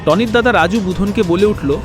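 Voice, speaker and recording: A man's voice: sits high, normal speed, over music. A man narrating a scripted horror story for a cartoon.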